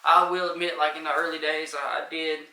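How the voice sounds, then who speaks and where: A man's voice: fairly high pitch, flat delivery, brisk, bright, forceful tone, roomy sound, recorded from a distance. A man, a rapper, talking loosely in a casual interview, with a light voice and lots of fillers. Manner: loose, rambling, many fillers.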